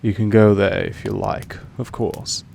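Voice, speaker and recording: Guy doing a possessive vampire master, lowish creaky voice, measured and formal, quietly affectionate but a bit menacing, talking right to the listener. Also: flat delivery, exaggerated voice. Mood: calm, instructive. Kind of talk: intimate scripted audio roleplay to the listener.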